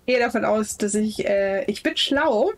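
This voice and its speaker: A woman's voice: medium pitch, lively intonation, moderate pace. A woman player chatting casually on a video call as she describes her character's actions in a tabletop roleplaying game. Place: indoors on an online video call.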